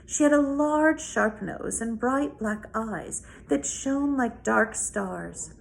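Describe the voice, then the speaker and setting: A woman reads a children's novel aloud as a storyteller, with deliberate, dramatic phrasing. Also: a little high, expressive, vocal fry, rough recording. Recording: noticeable background noise.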